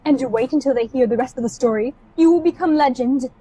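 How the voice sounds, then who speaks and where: A woman playing high, husky-voiced admiring officer. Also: fast, warm tone, low-quality recording. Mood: earnest. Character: warmly admiring, authoritative female space officer. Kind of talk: radio play acting.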